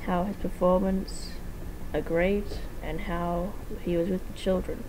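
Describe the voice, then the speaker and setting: A woman's voice: on the low side, level tone, measured pace, background noise. A woman giving an amateur dramatic reading of a fan fiction story, sometimes stumbling over words and rereading them.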